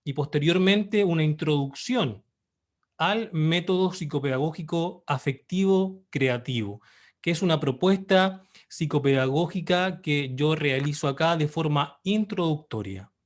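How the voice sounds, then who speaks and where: A Chilean man in his thirties speaks at a slightly raised pitch, presenting his book online. His delivery is formal and measured, with pauses and hesitations between phrases. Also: animated. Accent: Chilean Spanish. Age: 30s.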